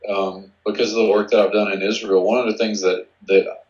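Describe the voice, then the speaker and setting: A man is a guest on an interview podcast. He speaks in a measured way and often restarts his sentences. His low voice comes through dull and lo-fi, with a roomy echo. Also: little pitch movement, darkish tone. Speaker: guest researcher. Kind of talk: conversational interview.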